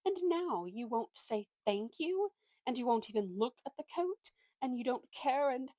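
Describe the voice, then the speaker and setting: A woman's voice: on the high side, animated, measured pace, warm tone, no room sound, dull audio, pitched above the performer's own voice. A woman voicing a manipulative, self-pitying male talking ape in a storybook, with a bossy, wheedling, indignant manner. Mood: wounded, indignant.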